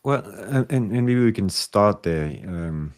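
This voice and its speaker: A man with a low voice hosts a podcast interview. He talks casually and off the cuff, feeling his way through each question in halting phrases. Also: animated, darkish tone.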